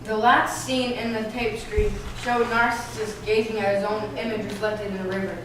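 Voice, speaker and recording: Female narrator, scripted storytelling, mid-pitched; distant mic with clear background noise. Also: level tone, normal speed. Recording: echoey room, distant mic, noisy.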